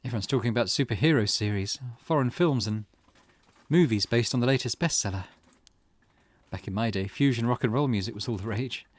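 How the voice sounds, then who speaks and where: A man's voice: medium pitch, fairly quick, dry recording, natural voice. A man voicing a weary, grieving middle-aged mother from a visual novel, reading her old-fashioned, wistful thoughts aloud.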